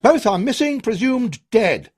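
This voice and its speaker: A man's voice: very high-pitched, very animated, measured pace, darkish tone, husky, voice pushed up, heavily acted. A man doing a stern army colonel. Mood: commanding. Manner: clipped, authoritative, raised voice. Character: commanding male army colonel, stern and weary.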